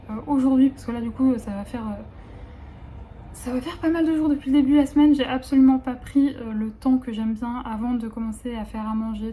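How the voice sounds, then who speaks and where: Woman, late 20s, casual vlogger; rambling, filler-heavy talk, warm dark tone, phone recording. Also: fairly high pitch, slight background noise. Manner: chatty, rambling, many fillers and restarts. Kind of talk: casual vlog chat.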